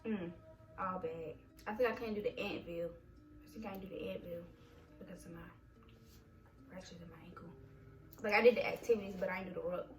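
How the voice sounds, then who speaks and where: A young woman co-hosting a vlog, telling army stories in an animated, profane, laughing way. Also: fairly low pitch, a little fast, long pauses, reverberant, far from the mic, soft background music, rough recording. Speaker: vlog co-host, army veteran. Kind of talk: casual storytelling chat.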